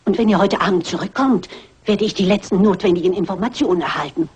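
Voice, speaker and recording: An actress playing a shrewd, self-assured elderly lady sleuth in a German radio crime play, brisk and politely commanding. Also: medium pitch, darkish tone, slightly rough. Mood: confident. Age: older. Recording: dull, band-limited radio play recording.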